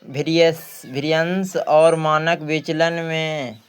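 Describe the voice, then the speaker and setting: Bihari guy doing exam maths lesson, takes it slow, clean clear voice, keeps asking then answering. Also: fairly high pitch, flat delivery. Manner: repeats phrases and asks himself questions. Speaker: maths teacher. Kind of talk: exam practice lesson, working through questions.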